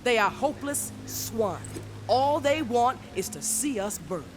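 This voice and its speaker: A hard-edged militant leader, a woman, addressing her followers in a game's recorded broadcast. She speaks in a declamatory, contemptuous tone, pitched fairly high for a commanding voice. Faint music sits underneath. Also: very animated, unhurried. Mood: scornful, rallying. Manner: declamatory, contemptuous propaganda speech. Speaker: faction leader in a game. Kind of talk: recorded propaganda broadcast in a video game.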